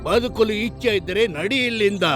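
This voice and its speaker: A man's voice: sits high, normal speed, over music, natural voice. A man playing a proud, stern tiger king in a children's cartoon story, speaking in an imperious manner. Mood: haughty, stern.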